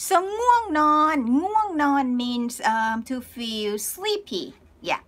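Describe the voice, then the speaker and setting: Woman in her 30s, high voice, upbeat live-stream teacher who laughs easily, phone mic in a small room. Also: very animated, fairly bright tone. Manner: cheerful, laughs often, spells out words slowly. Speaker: Thai language teacher. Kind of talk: live-streamed lesson reading viewer comments. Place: small room indoors, plain wall.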